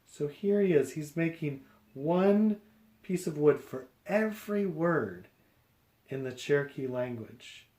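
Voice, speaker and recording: A man reading a picture book aloud to young children, explaining deliberately phrase by phrase. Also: a little high, lively intonation, warm tone, echoey room.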